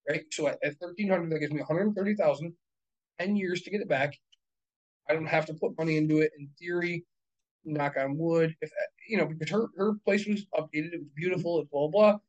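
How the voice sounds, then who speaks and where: A man in his thirties with a slightly high voice talks through rental math in a casual chat. He is blunt and profane and reckons the numbers out loud as he goes. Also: fairly even intonation, a little fast, highs cut off. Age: 30s. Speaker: real estate investor.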